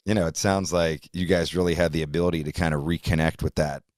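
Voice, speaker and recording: A man's voice: a bass voice, steady tone, fast, darkish tone, dry recording, studio quality. A man in his fifties hosting a podcast, chatting loosely and rambling with lots of "like" and "you know". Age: 50s. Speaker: podcast host. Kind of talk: casual interview chat.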